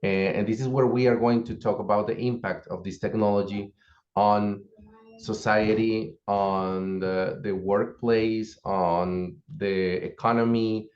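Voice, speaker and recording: A man with a fairly low voice walks through a course outline, listing one item after another at an even, explanatory pace. He is on a video call, and his voice sounds dull and lo-fi. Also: unhurried. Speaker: course instructor.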